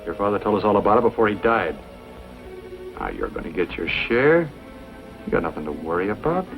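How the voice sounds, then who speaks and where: Menacing western crook, lively delivery. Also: male voice, fairly low pitch, brisk, gravelly. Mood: falsely reassuring. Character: menacing crook pressing a child for money. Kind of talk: 1940s western film acting. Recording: dull old film soundtrack, music behind.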